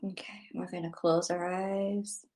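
Female meditation guide, very slow and level in delivery, soothing, hushed at phrase ends. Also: fairly low pitch, little pitch movement.

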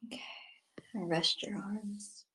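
A woman leading a guided meditation, giving soothing spoken instructions in short phrases that sometimes drop to a hush. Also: mid-range voice, fairly even intonation, slow, poor audio.

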